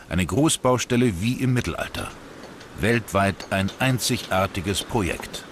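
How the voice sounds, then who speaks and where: A man's voice: on the low side, slight background noise. A man reading scripted documentary narration as the narrator. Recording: voice over background music. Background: music.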